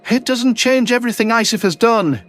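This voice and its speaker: A man playing an indignant alien ambassador objecting sharply, his voice pitched high above the narrator's own. Faint music plays beneath. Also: fairly quick, fairly bright tone.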